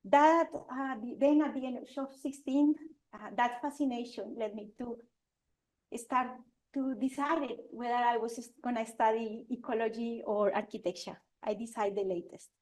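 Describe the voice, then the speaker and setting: A woman's voice: a little high, steady tone, darkish tone, low-quality recording. Colombian-accented woman presenting to an audience. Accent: Colombian Spanish. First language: Spanish. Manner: earnest, halting, with restarts and fillers. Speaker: architect giving a talk. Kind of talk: conference presentation. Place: lecture venue with audience.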